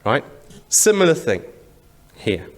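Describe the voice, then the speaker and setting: Animated Zimbabwean male preacher. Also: medium pitch, slow pace. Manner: conversational and explanatory, with rhetorical questions and repetition. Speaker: church preacher. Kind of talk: sermon.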